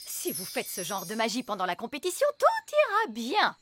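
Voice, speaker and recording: A woman voicing a frank, bossy nanny fairy in a French cartoon, with a brisk, blunt delivery. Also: fairly high pitch, wildly swooping pitch, music in the background. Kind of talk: French cartoon dub acting.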